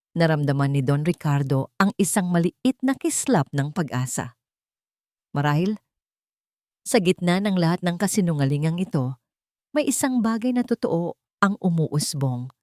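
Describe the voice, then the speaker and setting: Low-pitched woman narrating a romance story. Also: a little mellow, no room sound, studio quality.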